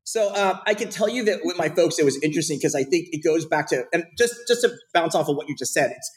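Fast-talking man telling his career story. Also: a little high. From Portland, Oregon. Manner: rapid, animated storytelling with frequent fillers. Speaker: podcast guest. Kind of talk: casual interview storytelling.

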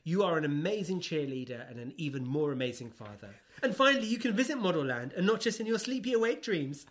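A man's voice: a little high, expressive, fast talker. A man co-hosting a podcast in casual comic chat, giggly and teasing as he talks. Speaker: podcast co-host.

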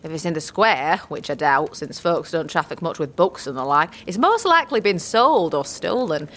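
A woman's voice: low voice, lively intonation, moderate pace, a bit bright. A woman voicing a squat, grey old midwife with a cackling laugh and folksy, old-fashioned speech. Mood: teasing. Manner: folksy archaic speech, spits and scolds. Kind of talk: audio drama acting.

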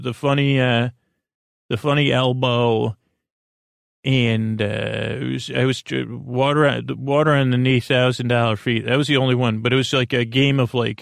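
A man hosting a bedtime podcast in a meandering, halting monologue, often drifting off his train of thought. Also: mid-range voice, creaky, no room sound, studio quality. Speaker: podcast host.

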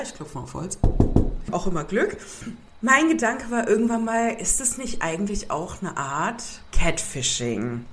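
A woman's voice: mid-range voice, a little hiss or hum. A young woman with a cold, hosting a podcast in a casual, musing way. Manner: thoughtful, musing, slow stretches. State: has a cold. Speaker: podcast host.

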